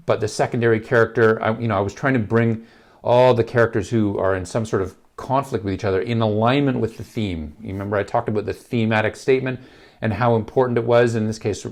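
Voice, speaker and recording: A man in middle age talks casually to camera from a large office space. His voice sits a little low and still carries some leftover gravel from being ill. He rambles, circling back with fillers and restarts as he thinks aloud. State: slightly gravelly from illness. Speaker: filmmaking channel host.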